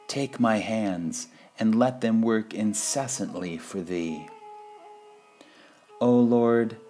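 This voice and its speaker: Male reader, deep voice, unhurried and deliberate delivery of spiritual quotations, music underneath. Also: mellow. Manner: measured, deliberate, with pauses between phrases. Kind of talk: slow devotional quote reading.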